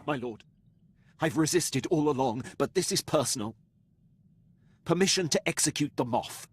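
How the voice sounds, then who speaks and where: An actor playing a dutiful, precise Imperial officer in a video game, speaking formally and deferentially. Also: male voice, fairly high pitch, animated, moderate pace, slightly rough. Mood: grim, resolute.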